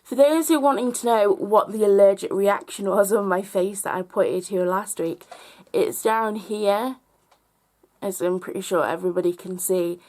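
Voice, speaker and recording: A woman vlogger with a slightly hoarse voice, talking earnestly and reflectively straight to her viewers. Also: mid-range voice, moderate pace, a little mellow. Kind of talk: personal vlog monologue.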